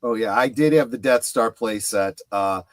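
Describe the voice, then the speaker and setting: A middle-aged man in casual panel chat, speaking in a halting but emphatic way. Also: mid-range voice. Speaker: show panelist. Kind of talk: casual chat about collectibles.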